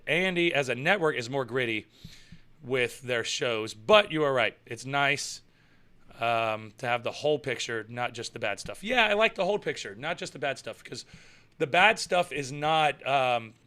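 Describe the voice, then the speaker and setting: A young man chats loosely on a live stream and answers viewers as he goes. His voice is bright and forceful. He rambles, repeats himself and chuckles often. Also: a little high. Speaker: live show host and pro wrestler. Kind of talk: casual live-stream chat reading viewer comments.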